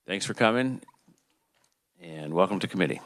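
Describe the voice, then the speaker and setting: A man's voice: low-pitched, animated, moderate pace, no room sound. A man chairing a legislative committee hearing, asking questions and calling witnesses in an easygoing way.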